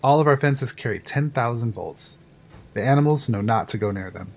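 A man's voice: mid-range voice, creaky, slight background noise, natural voice. A man voicing a blunt, confident male park engineer explaining the control room in an audio drama. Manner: brisk, matter-of-fact, a little cursing. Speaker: voice actor. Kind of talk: fan audio drama acting.

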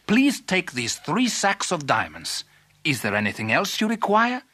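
Man putting on a gracious, expressive storybook king. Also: sits high, a little slow, dry recording, pitched above the performer's own voice, exaggerated voice. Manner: formal, gracious storybook delivery. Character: grateful, generous, stately male foreign king.

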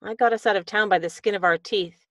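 An anxious woman fugitive in a radio mystery played by an actress, medium in pitch. She is hesitant, polite and grateful. The recording is dry. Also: quick pace, bright, forceful tone, no room sound, lightly acted. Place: indoor video call.

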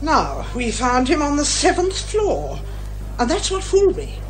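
A woman's voice: high voice, wildly swooping pitch, unhurried, faint music behind, exaggerated voice, low-quality recording. A British actress playing an insistent, headstrong older woman, a mystery writer turned amateur sleuth in a radio detective comedy. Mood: explaining keenly. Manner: insistent, emphatic, articulate.

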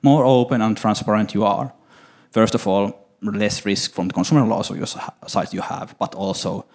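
A middle-aged man with a Finnish accent answers audience questions on a conference stage into a handheld mic, measured and hesitant, with many fillers. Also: lowish voice, steady tone, a little mellow. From Finland. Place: conference stage, large hall.

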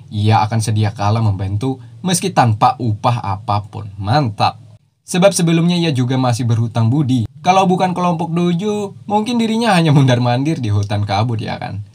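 A man's voice: mid-range voice, darkish tone. A man narrating a cartoon series recap in a casual, slangy voice-over, dropping teasing jokey asides.

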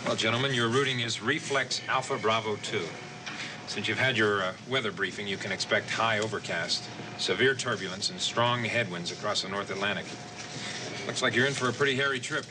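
A man's voice: fairly low pitch, fairly quick, close to an everyday voice. A man acting a matter-of-fact military briefing officer in an old suspense drama, orderly and official with a wry touch. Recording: noisy vintage drama soundtrack, vehicle hum.

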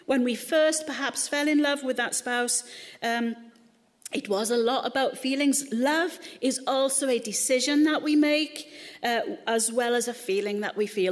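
A middle-aged woman speaking to a church congregation in a chatty, joking way. Her voice sits a little high for a woman, and the long reverb of a hall hangs on every word. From Wales. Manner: chatty, humorous, conversational. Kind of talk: sermon talk.

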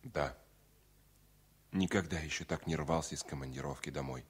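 An actor playing a reserved, evasive lover in an intimate radio play, answering in a terse, muted way. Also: male voice, rumbling low, normal speed, husky. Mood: guarded, tender.

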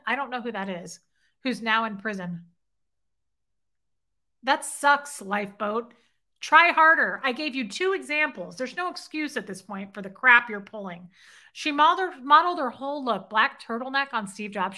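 A woman in her thirties with a bright, forward voice and a slightly high pitch. She chats casually with viewers on a livestream, teasing and flirting in a playful way. She sits at a webcam in her living room at home. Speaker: livestream host. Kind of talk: casual livestream chat reading comments. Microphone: webcam at desk.

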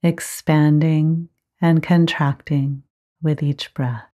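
A woman teaching guided meditation, giving gentle, measured instructions with frequent pauses. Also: deep voice, level tone.

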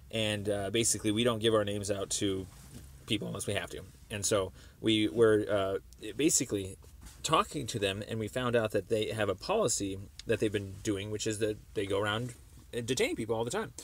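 A man making a phone call, explaining a complaint in a halting, wordy, circling way. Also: lowish voice. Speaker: caller. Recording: noisy phone call recording.

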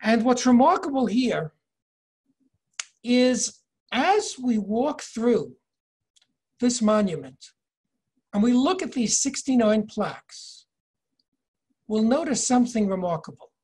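An older man in his sixties leading a virtual tour lecture from home over a video call, measured and explanatory, reading inscriptions slowly. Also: extremely high pitch, very animated, darkish tone, husky. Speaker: tour guide and educator. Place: home office, indoors. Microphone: video call webcam.

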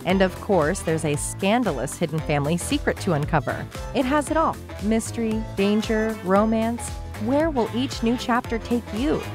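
A woman's voice: medium pitch, expressive, moderate pace, few pauses, over music. A woman hosting a podcast, reading a scripted sponsor advertisement. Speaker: podcast host. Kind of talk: scripted ad read.

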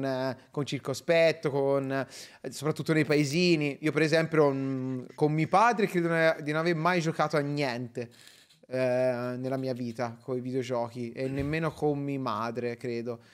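A man's voice: medium pitch, fairly even intonation, moderate pace, clean tone. A man hosting a casual group chat, speaking in hesitant, trailing asides. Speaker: host of a group live stream. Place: indoor room at home, group video call.

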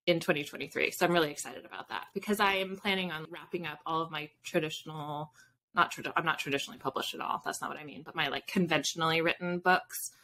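Woman, 30s, interview guest. Lowish, very bright voice, informal, self-deprecating, slightly noisy video call. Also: steady tone, fast talker. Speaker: guest, fiction author and podcaster. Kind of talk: casual interview chat. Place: indoor home room with bookshelves. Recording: video call with background noise, clicks.

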